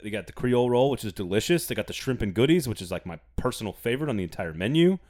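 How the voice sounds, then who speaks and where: A man's voice: mid-range voice, expressive, rapid-fire, darkish tone. A man hosting a casual sports podcast, playful and teasing, reading an ad with gusto. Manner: playful, teasing, reads ads with enthusiasm. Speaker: podcast host.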